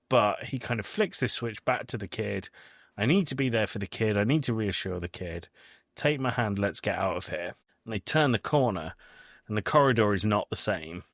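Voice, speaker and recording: A man's voice: fairly low pitch, gravelly, fairly bright tone, no echo, studio quality. A man hosting a podcast, recapping a film in casual discussion and often repeating words as he thinks. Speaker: podcast host.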